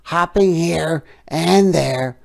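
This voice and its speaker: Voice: medium pitch, drawn-out words, gravelly, voice pushed up, heavily acted. A storybook reader voicing a proud, boastful young frog with a triumphant, mysterious, emphatic delivery. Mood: mysterious, wondrous. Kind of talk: children's storybook character voice.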